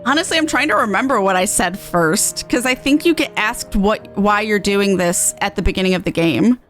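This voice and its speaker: A woman's voice: medium pitch, moderate pace, fairly bright tone. A woman game streamer chatting casually through a playthrough with playful, rambling asides. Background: game music.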